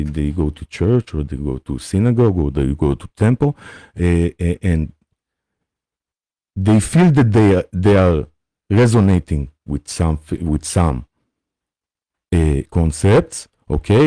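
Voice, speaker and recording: A man with an Israeli accent gives a spiritual talk on a live stream. His voice sits fairly low and sounds mellow, and he speaks very slowly and haltingly. He pauses often to check in with his listeners, and the sound is dry and close. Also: no echo. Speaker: spiritual teacher hosting a live session.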